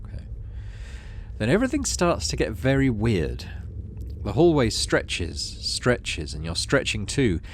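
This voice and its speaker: A man's voice: mid-range voice, huge pitch swings, a little slow, a little hiss or hum. A man hosting a gaming video, reading story text aloud expressively and adding casual, musing commentary.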